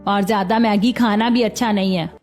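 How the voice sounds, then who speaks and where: A woman's voice: medium pitch, fairly even intonation, moderate pace, darkish tone, faint music behind, lightly acted. A woman playing a cautious, bossy old grandmother who speaks plainly and admonishes her family in a Hindi cartoon story. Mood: worried.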